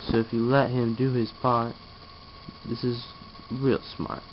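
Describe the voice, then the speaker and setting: A man's voice: mid-range voice, flat delivery, a little slow. A tired, yawning young man talking drowsily while lying in a small bedroom, then rapping verses into his webcam. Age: young adult. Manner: drowsy, mumbling, trailing off. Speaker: vlogger. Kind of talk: casual vlog with rapped verses. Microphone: webcam held close overhead. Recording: dull lo-fi webcam audio with noise.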